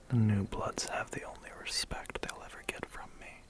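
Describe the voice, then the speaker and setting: A man's voice: unhurried, lightly acted. A man playing a smug, menacing, centuries-old vampire biker in an ASMR roleplay, whispering breathily with a condescending, teasing manner. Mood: scornful.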